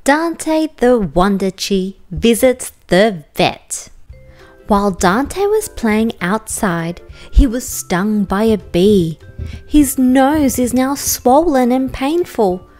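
A woman's voice: on the high side, sing-song, slow pace, a little mellow. An Australian woman reading a children's picture book aloud in rhyme, expressively and with careful enunciation for young listeners. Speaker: storytime narrator and host. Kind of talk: children's picture book read aloud, scripted rhyming narration. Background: music.

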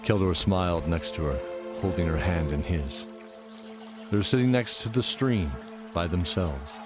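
A male narrator with a very low voice tells a fantasy tale over music. His delivery is scripted, measured and dramatic.

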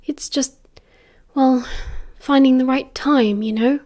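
A woman's voice: sits high, steady tone, slow, mellow. A woman voicing a hot-headed, defensive teenage schoolboy in a story, snappy and sarcastic yet anxious. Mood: careful, nervous. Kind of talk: dramatised story reading.

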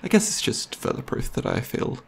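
A man playing a caring, goofy, slightly nervous boyfriend in an ASMR roleplay, chatting intimately and hesitantly to the listener with a husky, slightly gravelly voice. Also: normal speed, natural voice. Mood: earnest, hesitant. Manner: hesitant, rambling, playful.

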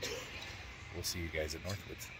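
A man's voice: a bass voice, normal speed, background noise. A man, casually vlogging to a phone held at arm's length, outdoors and in a car, rambling with lots of fillers. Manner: relaxed, rambling with many fillers. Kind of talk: casual vlog narration.

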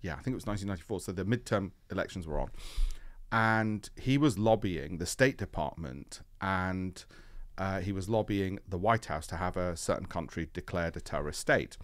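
A man in his fifties from Leeds, a podcast guest chatting casually in an animated, joking way with quick asides and frequent restarts, recorded in a podcast studio on a desk mic. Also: deep voice, no room sound. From Leeds, England. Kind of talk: casual interview chat. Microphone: desk mic on a boom arm.

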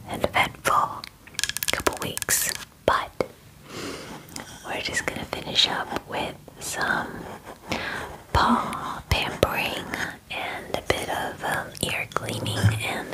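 A woman in her twenties whispers softly and gently into a close microphone, in a soothing ASMR personal-attention roleplay as a spa groomer. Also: rough recording. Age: 20s. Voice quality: soft, breathy whisper. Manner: gentle, slow, soothing murmuring. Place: small dim room, indoors.

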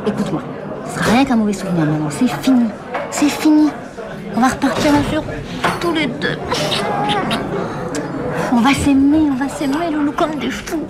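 A woman's voice: high-pitched, animated, normal speed, pauses often, poor audio. An actress playing a weary young streetwalker devoted to her boyfriend in a radio play, speaking plain street slang. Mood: tender, pleading. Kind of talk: naturalistic French radio drama acting. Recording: loud crowd chatter behind.

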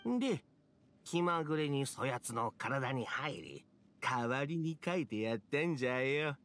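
A man's voice: mid-range voice, expressive, measured pace, a bit bright. A man playing an ancient, mischievous male fox spirit in a video game, with old-fashioned, theatrical elderly speech. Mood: proud, reminiscing. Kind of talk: Japanese video game acting.

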